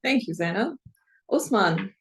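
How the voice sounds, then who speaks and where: A woman from Pakistan hosting a webinar panel over a video call, introducing speakers in an organized, clear way. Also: medium pitch, lively intonation, unhurried, a bit bright. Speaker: webinar host and chief program officer. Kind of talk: moderating an online panel.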